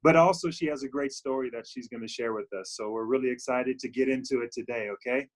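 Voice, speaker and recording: A man hosting a youth group chat on a video call, talking casually and haltingly with many fillers and restarts. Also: mid-range voice. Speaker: youth program host.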